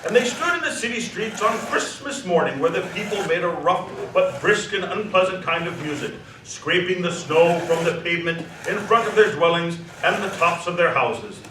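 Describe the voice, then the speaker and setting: A man narrating a scripted story dramatically, savoring the descriptive words with expressive delivery. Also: sits high, normal speed, few pauses. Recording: echoey hall, distant mic, faint noise.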